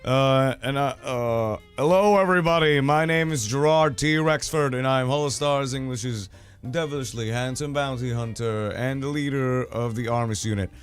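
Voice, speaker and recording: A man chatting casually on a livestream, hoarse today, loud in his greetings and swearing freely. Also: medium pitch, normal speed, faint music behind. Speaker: streamer.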